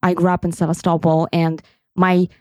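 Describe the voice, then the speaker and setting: Earnest woman with a mellow, dry voice. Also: fairly low pitch, little pitch movement, normal speed, dry recording. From Crimea, Ukraine. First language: Russian. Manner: earnest, heartfelt, reflective. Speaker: podcast host. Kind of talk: personal storytelling monologue.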